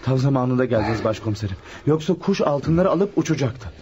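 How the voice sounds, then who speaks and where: An actor playing a shrewd, self-assured amateur detective in a Turkish radio drama, speaking in a measured, polite, slightly sly way. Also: male voice, mid-range voice, lively intonation, background noise, lightly acted. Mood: self-justifying. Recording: muffled lo-fi radio drama recording.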